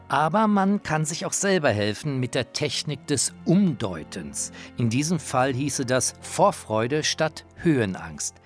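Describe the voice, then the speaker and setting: A man narrating a radio feature with personal anecdotes in a scripted style. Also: medium pitch.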